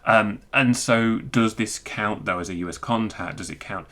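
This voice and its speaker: A man giving an informal technical screencast walkthrough, thinking aloud in a halting, self-interrupting way. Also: lowish voice, pushed and bright. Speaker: presenter explaining technical material.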